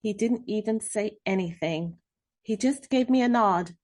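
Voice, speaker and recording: A woman narrating a children's storybook, reading expressively with drawn-out emphasis and dramatic pauses. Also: medium pitch, a little mellow. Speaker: read-aloud host narrating children's books. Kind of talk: scripted children's storybook narration in first person.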